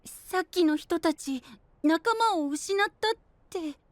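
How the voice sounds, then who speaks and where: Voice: extremely high pitch, takes it slowly, no room sound. An actor playing a cute, small, curious boy knight in an audio drama, casual and boyish. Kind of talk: Japanese anime-style audio drama acting.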